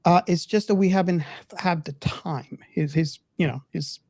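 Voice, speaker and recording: A man's voice: high-pitched, normal speed, vocal fry, darkish tone, no room sound. A man hosting a casual podcast discussion, speaking hesitantly and often repeating his words. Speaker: podcast host.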